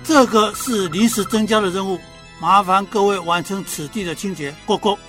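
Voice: mid-range voice, normal speed, fairly bright tone, soft background music, lightly acted. An actor playing a dutiful, timid frog squad leader in a children's radio drama, polite and apologetic. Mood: polite orders. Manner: polite, apologetic, ending lines with a croak word.

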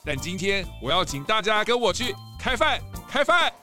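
A man's voice: sits high, sing-song, normal speed, bright, forceful tone, faint music behind, heavily acted. A man playing a jovial, hospitable panda school principal in a children's audio drama, effusive and full of exclamations. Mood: jovial, excited.

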